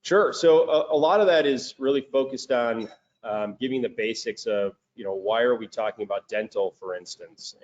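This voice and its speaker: A man's voice: lowish voice, dull audio. A man with a thick Chicago accent, a business owner talking casually as the guest on a video call, with frequent fillers and restarts. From Chicago, United States. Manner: relaxed, many fillers and restarts. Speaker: guest, crematory and metal recycling business owner.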